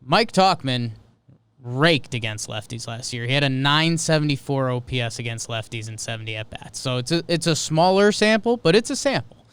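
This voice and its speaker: A man with a very clean, resonant voice and middling pitch co-hosts a sports talk show. He speaks casually but with animation, building arguments out of numbers and questions to himself. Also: expressive, moderate pace, a bit bright, clean tone. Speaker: sports podcast co-host.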